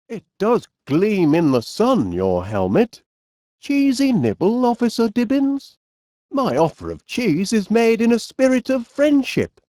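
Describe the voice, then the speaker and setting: A man voicing a genial, polite, eccentric middle-aged inventor with a Northern English accent in an animated comedy game. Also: high voice, no room sound, clean studio sound, full character voice. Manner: polite, quaint British turns of phrase, drawn-out words. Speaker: video game voice actor.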